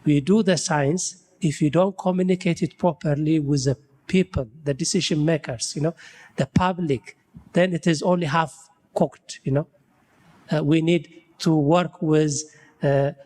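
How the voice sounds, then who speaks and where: A man's voice: on the high side, a little mellow, big-room sound. A man from Ethiopia speaking on a panel about buildings and climate, thinking aloud and tagging "you know" onto his sentences. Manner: frequent "you know" tags, searching for words. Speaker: building science researcher. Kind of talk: panel discussion.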